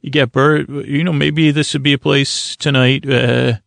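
A man hosting a bedtime podcast, rambling in a halting, stammering, whimsical way that trails off mid-phrase. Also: medium pitch, flat delivery, vocal fry, a bit bright, no echo, studio quality. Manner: whimsical, mock-earnest. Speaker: podcast host. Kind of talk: rambling, improvised bedtime monologue to help listeners sleep.

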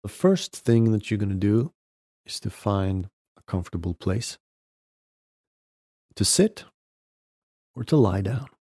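A man guides a meditation in a very low, mellow voice. He speaks slowly, leaving long pauses between short, gentle instructions. The sound is dry and close, with no room around it. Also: expressive, breathy edge, dry recording. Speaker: podcast host guiding a meditation.

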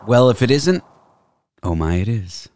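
Playful, animated male podcast host. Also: lowish voice, measured pace, noisy background. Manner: playful, goofy, jokey. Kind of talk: casual chat.

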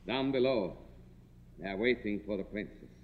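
A devoted manservant directing a film scene in an old radio play. He is deliberate and commanding, his pitch moving widely, on a slightly dull recording. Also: lively intonation. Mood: gentle, solemn. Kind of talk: 1950s radio drama acting.

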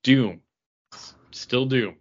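A man's voice: medium pitch, drawn-out words, highs cut off. A man co-hosting a podcast, chatting casually about comic books in an enthusiastic, rambling way. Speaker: podcast co-host. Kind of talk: casual comic book review chat.

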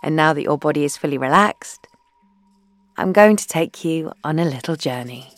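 A woman leading a guided meditation in a soothing, gentle, unhurried way, leaving long pauses between phrases and softening into breathy endings. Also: sits low, lively intonation, dry recording. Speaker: guided meditation leader, founder.

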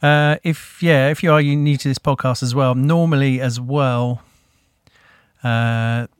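A man hosting a podcast, talking in a relaxed, rambling way with many fillers. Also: mid-range voice, measured pace, clean tone, no echo, clean studio sound. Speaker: podcast host. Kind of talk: casual interview chat.

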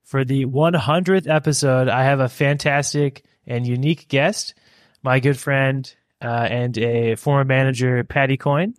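Man, podcast host, mid-pitched voice, upbeat, dry close recording. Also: steady tone, measured pace, dry recording. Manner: upbeat, laughs often. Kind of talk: casual podcast interview.

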